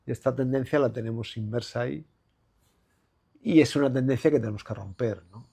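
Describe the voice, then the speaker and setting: A man's voice: mid-range voice, fairly quick, gravelly, dark tone, husky. A man in his sixties from Madrid with a Madrid Spanish accent, a former athlete speaking as an interview guest in a reflective, rambling way with many fillers and restarts. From Spain. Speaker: guest, former basketball player. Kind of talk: relaxed conversational interview.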